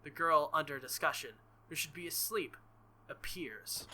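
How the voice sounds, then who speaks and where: A man's voice: a little high, normal speed, bright, forceful tone, a little hiss or hum. A man reading a visual novel aloud as a let's-play host, theatrical and jokey, with casual asides. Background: faint game music.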